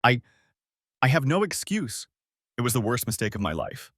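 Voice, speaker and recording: A man's voice: mid-range voice, big pitch swings, normal speed, a bit bright, no echo, studio quality. A man voicing a guilty best friend in a story, faltering, apologetic and self-reproachful. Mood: ashamed.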